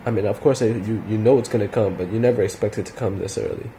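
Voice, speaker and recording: A man's voice: on the low side, fairly even intonation, fast, warm tone, slight background noise. Slangy teen guy ranting casually. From Chinese heritage. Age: late teens. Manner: slangy, swearing, loose and rambling, repeats himself. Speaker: vlogger. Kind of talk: casual talking-to-camera rant.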